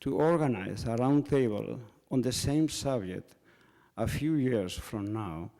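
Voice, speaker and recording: A man from Spain, Basque by first language, giving measured, dryly humorous panel remarks in English. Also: medium pitch, expressive, vocal fry, dark tone. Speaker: panel author. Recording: reverberant hall.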